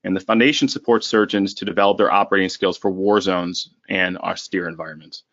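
Guy hosting a podcast, low voice, lots of uhs, dry dull recording. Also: fairly even intonation, fairly quick, fairly bright tone, no echo. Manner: admiring, hesitant with uhs, reading a bio. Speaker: podcast host. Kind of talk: interview intro and questions.

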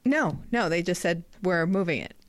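A woman co-hosting a sports podcast in casual chat, chatty and quick to laugh. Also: on the low side, animated, no echo. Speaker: podcast co-host.

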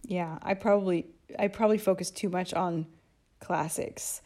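A woman's voice: fairly low pitch, fairly even intonation, normal speed, darkish tone. A woman co-hosting a podcast, talking casually and thoughtfully, often restarting and musing aloud. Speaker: podcast co-host. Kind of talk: casual chat.

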